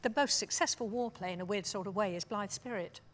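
A woman in her sixties with a British accent talks as a drama scholar on a panel. She is reflective and measured, with a wry edge, and sits at a medium pitch. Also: fairly bright tone. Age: 60s. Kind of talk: thoughtful panel discussion. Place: stage panel before dark curtains, indoors.

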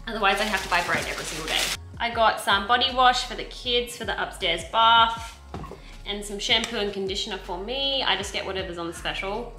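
A young Australian woman chatting casually and rambling a little, filmed in her home kitchen by a camera on the counter. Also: medium pitch. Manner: chatty, rambling with self-corrections. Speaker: vlogger. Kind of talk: casual grocery haul chat. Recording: echoey kitchen with background noise.

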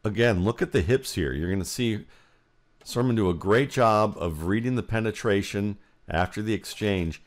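A man's voice: lowish voice, moderate pace. An American man giving football film breakdown commentary, explaining each detail as he thinks aloud.

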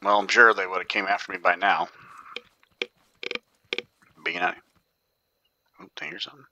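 A man's voice: low voice, normal speed, gravelly, bright, forceful tone, a little hiss or hum. A man giving casual commentary while he plays a video game, thinking aloud and often dropping to a whisper. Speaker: gaming YouTuber.

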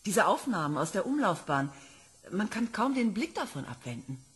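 A woman's voice: mid-range voice, very animated, normal speed, slightly rough, faint music behind. A woman playing an earnest planetology professor. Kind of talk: radio play acting.

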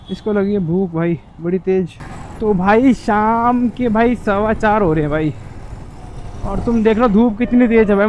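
A young man talks in a high-pitched voice. He chats informally, like a motovlogger addressing his buddies, with some traffic noise around him. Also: muffled recording. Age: 20s. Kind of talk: casual chat to camera. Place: on a motorbike in city streets, later inside a small helmet shop. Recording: noisy street and traffic sound. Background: traffic and vehicle noise.

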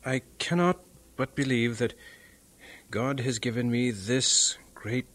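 A man's voice: mid-range voice, fairly even intonation, a bit bright, soft background music. A man playing an ardent young missionary suitor, reading his love letter tenderly in an audio drama. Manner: tender, measured.

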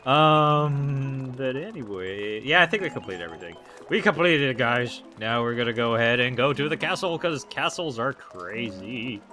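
A hoarse young man giving goofy, excitable commentary over his gameplay, full of ums and likes. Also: medium pitch, normal speed, hardly any pauses. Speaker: let's play gamer. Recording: game music behind voice.

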